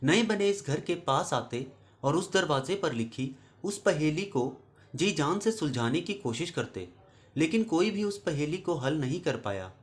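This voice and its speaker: A man with a somewhat high voice narrates a moral story from a script. He speaks to his listeners as a storyteller and host, earnest and instructive. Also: lively intonation, measured pace.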